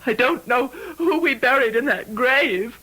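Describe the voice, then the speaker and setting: A high-voiced, frightened young woman in an old radio mystery. Her bright delivery swings widely and emotionally, with a husky, breathy edge. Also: lively intonation, measured pace, full character voice. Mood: tearful disbelief. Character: distraught, frightened young woman fearing she seems mad.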